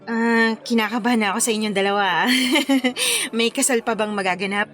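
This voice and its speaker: Friendly Filipino wedding planner, higher-pitched. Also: female voice, lively intonation, brisk, fairly bright tone, faint music behind. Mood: playful, awkward. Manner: friendly, lightly joking. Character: good-humoured, patient veteran female wedding planner. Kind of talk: Filipino radio drama acting.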